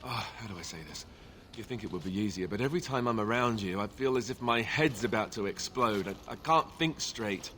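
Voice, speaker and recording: An actor playing an awkward, lovestruck young warrior in a fantasy video game, speaking with hesitant, earnest stumbles over faint background ambience. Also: male voice, fairly low pitch, a little fast, bright, forceful tone, a little hiss or hum. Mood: nervous, bashful.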